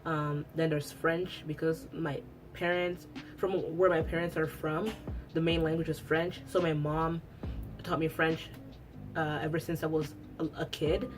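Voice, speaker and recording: A woman's voice: low voice, flat delivery, measured pace, music in the background. A young woman whose first language is Italian, chatting casually to camera at home, rambling with lots of restarts. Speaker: beauty vlogger. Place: at home after a shower.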